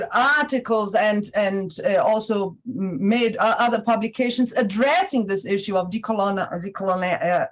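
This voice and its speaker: Halting Brazilian-accented woman lecturing. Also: fairly high pitch, poor audio. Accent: Brazilian Portuguese. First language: Portuguese. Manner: halting, many restarts and fillers, tag questions. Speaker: conference lecturer. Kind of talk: academic lecture. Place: university lecture hall.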